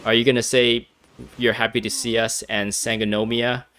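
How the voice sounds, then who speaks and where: A man's voice: mid-range voice, level tone, normal speed, fairly bright tone. A young man giving casual, wry gameplay commentary, laughing as he nitpicks. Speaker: game streamer.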